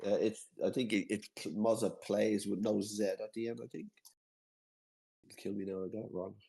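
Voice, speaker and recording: A man's voice: on the low side, flat delivery, gravelly, dark tone, low-quality recording. An Irish man in a casual chat, explaining a game in a rambling way and repeating himself often. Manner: rambling, repeats himself, quick to laugh at his slips. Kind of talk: casual video-call chat.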